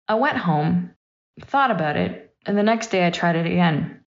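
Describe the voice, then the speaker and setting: A woman's voice: fairly low pitch, lively intonation, normal speed. A woman in her thirties giving a personal, reflective monologue as a podcast host, candid and emphatic, in short punchy sentences. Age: 30s. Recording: dull, slightly lo-fi voice recording.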